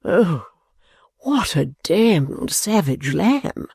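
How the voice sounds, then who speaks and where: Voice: mid-range voice, sing-song, drawn-out words, vocal fry, darkish tone, slightly rough, no echo, clean studio sound, voice pushed up, full character voice. A reader voicing an affected, foppish man pleading in wheedling baby talk and mild oaths.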